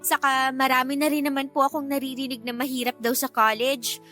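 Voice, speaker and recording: Casting: eager high-pitched teen girl, respectful and earnest, radio drama over light music. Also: level tone, brisk, pushed and bright. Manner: polite, earnest. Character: eager, polite, respectful teenage niece. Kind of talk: Tagalog radio drama acting.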